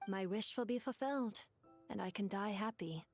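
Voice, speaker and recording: A woman's voice: medium pitch, moderate pace, natural voice. A grand, ancient djinn woman, voiced by an actress for a video game. She speaks formally and eloquently, with a wistful, devoted air and theatrical phrasing. Music plays behind her voice. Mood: fervent, resigned.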